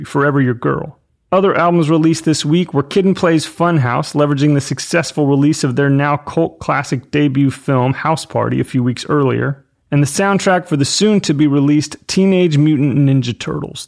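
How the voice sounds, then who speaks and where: A man's voice: a little high, creaky. A man of about forty, an enthusiastic podcast host talking about pop culture. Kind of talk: scripted narration and casual chat about pop culture.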